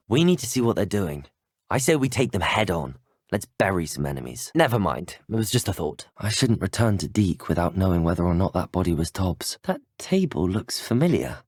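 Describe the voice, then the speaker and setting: Lively, polite young British wizard student. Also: male voice, fairly low pitch, husky, no room sound, studio quality. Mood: urgent, determined. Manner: courteous, well-spoken, formal. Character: polite, earnest, curious teenage boy wizard student. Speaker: player character voice actor. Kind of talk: scripted video game voice lines.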